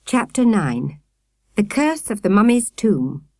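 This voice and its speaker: Woman, children's audiobook narrator, animated intonation, expressive storytelling, dry studio sound. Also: medium pitch, slow, no echo.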